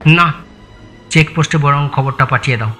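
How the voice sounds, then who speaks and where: A man playing a calm, practical police sergeant in an audio thriller, speaking in a measured, placating way. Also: a little high, faint music behind. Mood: matter-of-fact. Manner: measured, placating, matter-of-fact. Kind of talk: Bengali audio thriller acting.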